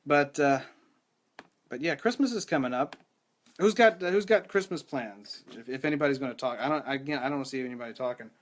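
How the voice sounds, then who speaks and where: A young man runs a casual live podcast stream from home into a studio microphone. His voice is hoarse and rattly, and he talks in loose, rambling runs full of restarts and self-deprecating asides, sitting at an ordinary middle pitch for a man. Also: fairly quick. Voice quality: rattly, strained voice. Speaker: podcast host. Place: home room with mic.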